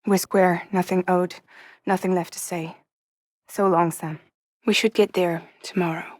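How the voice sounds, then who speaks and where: Wry tough courier lady from a video game, soft husky voice, really dry close sound. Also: lowish voice, fairly even intonation, a little slow, no echo. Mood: wry, resigned. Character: guarded, wry, resilient woman courier in post-apocalyptic world. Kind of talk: cinematic video game acting.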